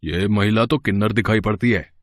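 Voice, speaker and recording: A man voicing a measured, courteous police inspector in an audio story. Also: on the low side, pitched below the performer's own voice, natural voice. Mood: calm, official. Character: calm, official police inspector.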